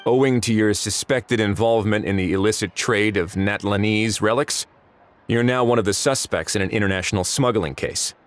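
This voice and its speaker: An actor playing a calm, dry investigator who speaks in a measured, deadpan, firm way. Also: male voice, on the low side, close to an everyday voice. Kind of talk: video game voice acting.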